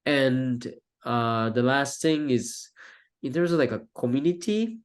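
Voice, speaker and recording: A young man from Japan with a Japanese accent, a native Japanese speaker, answering interview questions in halting English with many fillers and restarts. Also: medium pitch, clean tone. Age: young adult. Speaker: guest, film student.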